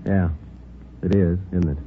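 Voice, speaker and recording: An American man playing a terse, world-weary police sergeant in a 1940s radio drama, clipped and deadpan. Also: deep voice, a little slow, highs cut off, very noisy. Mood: quiet, dry. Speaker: lead actor and narrator. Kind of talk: 1940s radio detective acting. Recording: old 1940s radio recording, music behind.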